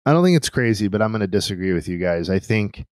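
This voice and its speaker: A man's voice: lowish voice, animated, fast talker, darkish tone, no room sound. A man on a sports podcast panel, making long, considered points in casual discussion.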